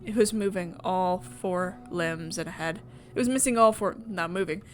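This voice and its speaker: A woman's voice: lowish voice, normal speed, a little hiss or hum. A woman reading story narration aloud from a visual novel in a casual playthrough. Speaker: visual novel reader and streamer.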